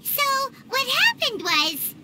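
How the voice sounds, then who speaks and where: Squeaky, animated little companion. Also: female voice, slow pace, heavily acted. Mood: eager, thoughtful. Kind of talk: English video game voice acting. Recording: loud music behind voice.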